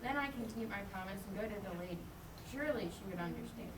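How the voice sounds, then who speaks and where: A woman's voice: mid-range voice, animated, fast talker, natural voice. A woman playing an eager, devout, childlike peasant man in a children's puppet show, earnest and playful. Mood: anxious. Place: live performance in a room, far mic. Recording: distant, echoey room with loud noise.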